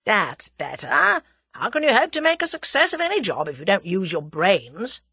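Cocky young Englishman acted by a woman, scornful, self-assured, animated pitch, close dry sound, audiobook character. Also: moderate pace, slightly rough, no room sound, exaggerated voice. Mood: mocking. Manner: scornful, taunting, confident. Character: cool, cocky young Englishman bluffing his captors.

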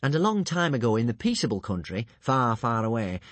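A man voicing a sly male schemer who poses as a poor but honest farmer, smooth and crafty. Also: mid-range voice, very animated, brisk, dry recording, lightly acted.

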